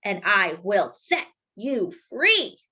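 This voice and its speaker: A grumpy, bossy storybook mayor acted out by a woman, a blustering bully of a man. The delivery is theatrical, with big swings of pitch. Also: very animated, takes it slowly, sharp tone, slightly rough, full character voice. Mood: exasperated pleading. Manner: snarling, thundering, pleading.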